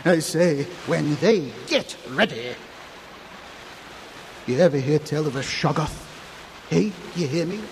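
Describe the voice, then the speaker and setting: Old New townsman, high cackling voice, frightened, unhinged, rambling country dialect, horror audio drama, faint background noise. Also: vocal fry. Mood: urgent, ominous. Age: older. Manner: rambling folksy dialect, agitated.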